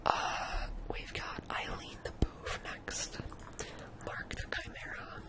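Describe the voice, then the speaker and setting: Whispered ASMR coding tutor. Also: slow pace. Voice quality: breathy unvoiced whisper. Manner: informal, hesitant, thinking aloud. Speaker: tutorial host.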